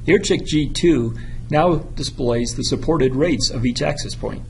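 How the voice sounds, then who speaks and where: A man giving a methodical, instructional narration of a software tutorial, as a product demonstrator. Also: mid-range voice, unhurried, vocal fry, a little hiss or hum.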